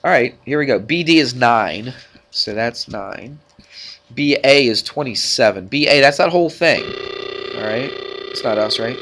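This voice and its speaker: A male math teacher works through problems for his class. He sounds congested and stuffed up. His delivery is informal, full of short check-in questions, at an ordinary middle pitch. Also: animated, moderate pace, a bit bright. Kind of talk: classroom worked-problem lesson.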